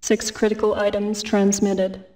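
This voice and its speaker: A woman voicing a calm, impersonal military computer assistant in a video game, with precise announcing. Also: mid-range voice, a little slow, far from the mic, a little hiss or hum, close to an everyday voice.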